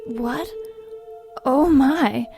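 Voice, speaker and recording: An actress playing an awestruck, submissive servant lost in a mystic trance in an audio drama, breathy and full of wonder. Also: female voice, high voice, extremely slow, exaggerated voice. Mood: awed. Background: music.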